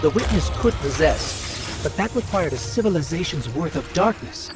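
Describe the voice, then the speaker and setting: An actor playing a curious, earnest little robot companion in a video game, puzzling things out aloud. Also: male voice, a little high, animated, normal speed, music in the background, natural voice. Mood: puzzled, curious. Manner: inquisitive, puzzled, thinking aloud.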